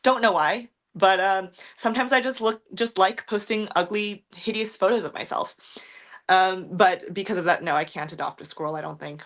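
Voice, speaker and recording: A young woman with a deep voice, chatting frankly in a rambling livestream, answering viewer questions with lots of ums, likes and swearing. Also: normal speed, fairly bright tone. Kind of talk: casual livestream chat.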